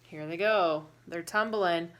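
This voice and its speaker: A woman reading a picture book aloud to young children, pausing to explain and ask questions in a deliberate, instructive way. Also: lowish voice.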